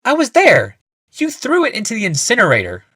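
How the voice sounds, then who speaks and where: A man voicing a weary, muzzy young healer in an audiobook, defensive and pitched higher. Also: wildly swooping pitch, a little fast, sharp tone, slightly rough, no room sound, studio quality, heavily acted.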